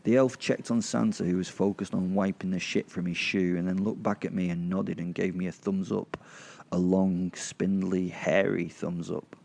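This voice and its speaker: A man narrating a horror audio drama in the first person, in character, with sardonic, halting delivery and dramatic pauses. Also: low-pitched, flat delivery, creaky, darkish tone.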